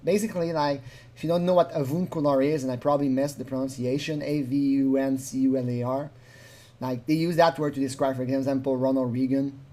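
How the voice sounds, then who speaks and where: A man's voice: medium pitch, steady tone, a little fast, warm tone. A man giving informal, unscripted commentary on a recording, rambling and acting out quotes as he explains. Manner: rambling, animatedly acts out quotes. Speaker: commentator analysing a recorded phone call.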